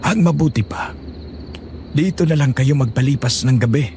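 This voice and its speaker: A man's voice: medium pitch, normal speed, a little mellow, a little hiss or hum, lightly acted. A man voicing a cautious, hospitable older village father in a horror audio drama. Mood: wary, hospitable.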